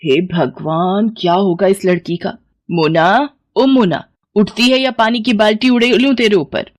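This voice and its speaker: A woman's voice: medium pitch, measured pace, dry recording, natural voice. A woman voicing an exasperated, scolding middle-aged mother. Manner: nagging, exasperated.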